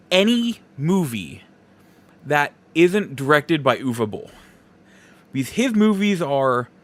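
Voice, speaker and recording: Animated man hosting a casual podcast. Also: on the high side. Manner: conversational, opinionated, emphatic. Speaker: podcast host. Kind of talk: casual solo podcast commentary.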